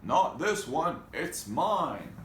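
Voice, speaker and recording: A man voicing excitable little frogs from a storybook, insistent and exclaiming. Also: fairly high pitch, very slow, far from the mic, voice pushed up, exaggerated voice. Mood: defiant. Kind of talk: storybook read-aloud character voice. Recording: echoey room with faint noise.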